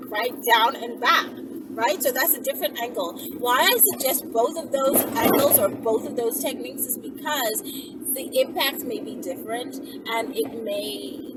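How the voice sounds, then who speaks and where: A woman's voice: fairly high pitch, moderate pace, loud music behind the voice. A woman in her thirties giving casual, conversational instructions to camera, explaining step by step with frequent check-in questions. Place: inside a car, daytime. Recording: distant mic, loud vehicle and road noise. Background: vehicle ambience.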